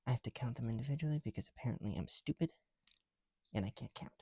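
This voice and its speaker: A young man chatting casually in soft, breathy ASMR style, often dropping to a whisper, slightly breathless behind a mask, with a rambling, self-deprecating manner. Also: mid-range voice, animated, quick pace, no room sound. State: somewhat breathless through a heavy mask. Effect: speaking through a mask. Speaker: ASMR video creator. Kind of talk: ASMR, casual chat while building bricks. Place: indoors, at home.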